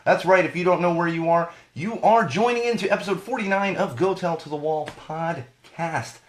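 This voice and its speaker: A man hosts a live podcast, his voice congested and a little hoarse from a cold. He rants casually, repeats his phrases and talks straight to the people watching. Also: a little high, moderate pace, slight background noise. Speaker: podcast host.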